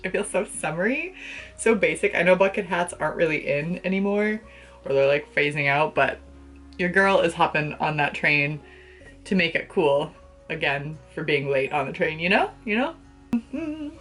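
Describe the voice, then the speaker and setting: Casting: young woman, low-voiced, casual book vlogger, gushing and playful with slangy delivery, bedroom setting. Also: lively intonation, measured pace, a bit bright, soft background music. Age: young adult. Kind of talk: casual chat to camera about books. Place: small bedroom, indoors.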